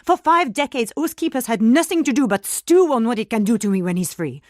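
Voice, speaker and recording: A woman's voice: on the high side, expressive, brisk, no echo, clean studio sound, close to an everyday voice. A woman playing a cruel, sardonic woman who has a spirit bound to her, speaking in a cold and cutting way. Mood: alarmed. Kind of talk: fan voice drama.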